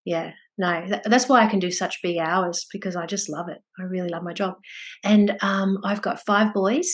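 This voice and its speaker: A middle-aged Australian woman, a clinical psychologist, talking as an interview guest with energetic, bubbly warmth. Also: medium pitch. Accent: mild Australian. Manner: energetic, bubbly, quick to laugh. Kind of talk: casual interview chat.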